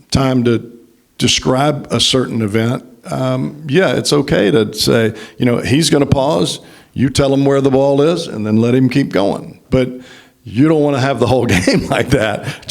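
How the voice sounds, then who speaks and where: A male baseball color analyst with a mid-range voice. He answers conversationally in a panel discussion, choosing words deliberately and a little haltingly. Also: mid-range voice, vocal fry, a little mellow.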